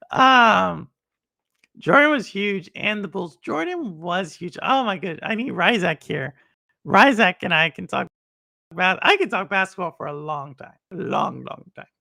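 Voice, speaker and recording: A woman's voice: medium pitch, big pitch swings, slow, vocal fry, pauses often, bright, forceful tone, dry recording, clean studio sound. A woman from Texas with a Texan accent, chatting casually on a live stream as host, reading chat and laughing.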